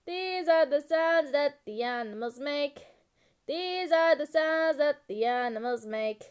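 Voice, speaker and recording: A woman delivering a children's animal-sounds rhyme in a simple, repetitive, deliberate sing-song. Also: sits high, lively intonation.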